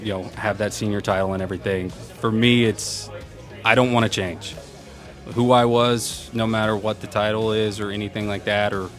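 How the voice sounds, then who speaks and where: A young man with a loud voice, answering interview questions casually at a conference and laughing often. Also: lowish voice. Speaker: event program manager, interview guest. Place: conference venue.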